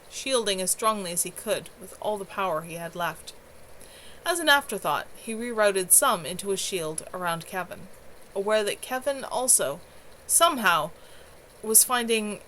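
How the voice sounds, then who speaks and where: A woman reads a fantasy story aloud as its author, in an unpolished amateur narration. Her pitch sits in the middle range for a woman. The home livestream recording carries clear background noise. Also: fairly bright tone, rough recording. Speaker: author reading own novel aloud.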